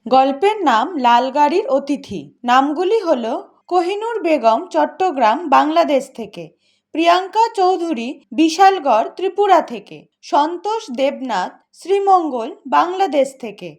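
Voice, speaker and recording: Lady announcer reading a scripted announcement, pretty high voice. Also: sing-song, moderate pace, a little mellow. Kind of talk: scripted announcement of contest winners.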